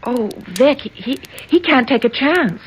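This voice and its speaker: Anxious high-voiced young woman, pleading. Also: animated, slow. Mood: nervous pleading. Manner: hesitant, earnest, emotional. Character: anxious, ashamed young senator's daughter, pleading. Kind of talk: 1970s radio drama acting. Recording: old radio broadcast, faint noise.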